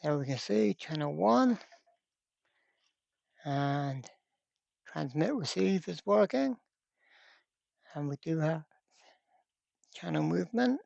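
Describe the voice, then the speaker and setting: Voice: mid-range voice, animated, takes it slowly, gravelly, pauses often, a little mellow, no echo, dull audio. A presenter giving a casual workbench repair demonstration, thinking aloud step by step in an informal way. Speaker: electronics repair hobbyist presenter.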